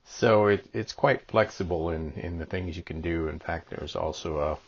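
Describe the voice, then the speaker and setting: A man's voice: low voice, moderate pace, husky, lo-fi sound. A man giving a casual product demonstration as a reviewer, explaining things in a plain-spoken, hesitant way.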